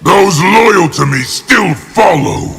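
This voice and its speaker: Proud angry warlord for a video game, gravelly, swings up into shouting, bit of music behind. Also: male voice, sits high, expressive, slow, full character voice. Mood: angry, defiant. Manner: aggressive, commanding. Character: hostile, proud, hot-tempered male warlord general. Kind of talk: video game character dialogue.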